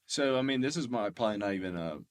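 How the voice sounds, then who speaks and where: A man hosting a podcast interview, asking questions in a relaxed, loose way. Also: lowish voice, fast talker. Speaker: podcast host.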